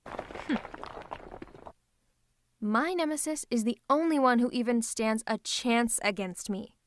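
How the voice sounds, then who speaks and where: A woman acting a haughty, high-voiced possessive supervillainess. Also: slow, a little hiss or hum. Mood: mocking. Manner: dramatic, haughty, teasing. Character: possessive, theatrical female supervillain, secretly tender lover. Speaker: ASMR voice performer. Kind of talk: scripted ASMR roleplay acting.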